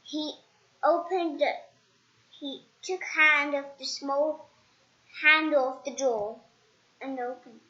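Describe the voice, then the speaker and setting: A child reading a children's bedtime story aloud, haltingly, with stumbles and restarts. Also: high voice, fairly even intonation, pushed and bright. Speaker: storybook reader. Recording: dull lo-fi home recording.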